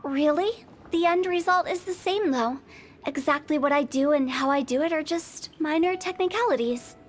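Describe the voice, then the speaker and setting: A woman's voice: sits high, moderate pace, lightly acted. A woman voicing a playful young female funeral parlor director in a video game, breezy and teasing. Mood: explaining. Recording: music playing under the voice.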